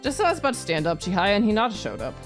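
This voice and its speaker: A woman's voice: medium pitch, big pitch swings, rapid-fire, over music. A woman streamer playing a visual novel live, reading the narration aloud and adding playful, casually sweary asides. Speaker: streamer, virtual YouTuber.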